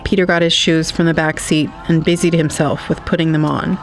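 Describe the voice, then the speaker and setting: A man's voice: a little high, little pitch movement, fairly quick. A man narrating a scripted story in the third person as the narrator of an audio drama. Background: faint music.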